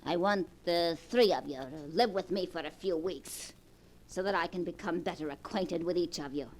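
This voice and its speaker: A wealthy old grandmother with a dry, cutting tongue, amused by her grandchildren's scheming, as an actress plays her. Her voice sits a little low. It's 1940s radio drama acting. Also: normal speed, natural voice. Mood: scornful.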